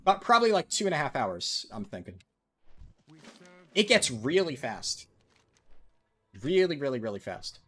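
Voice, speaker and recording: A man's voice: medium pitch, sing-song, unhurried, pauses often, pushed and bright, husky, faint music behind. A man live-streaming a game, chatting with viewers in a casual way with lots of ums and likes. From United States. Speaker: livestreaming gamer.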